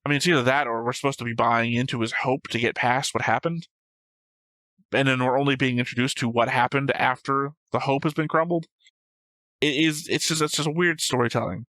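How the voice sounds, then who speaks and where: A man with a medium-pitched voice talks casually as co-host of a reaction show. He rambles and jokes his way through tangents. The sound is close and dry. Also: steady tone, fairly quick, fairly bright tone, dry recording. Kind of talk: casual discussion and commentary.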